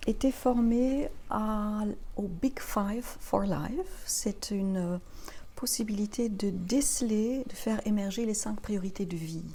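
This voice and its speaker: A woman in her fifties from Belgium, a native German speaker, answering interview questions as a practitioner in a measured, explanatory way. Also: mid-range voice, warm tone. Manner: measured, explanatory, listing her path step by step. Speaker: guest, family constellation practitioner.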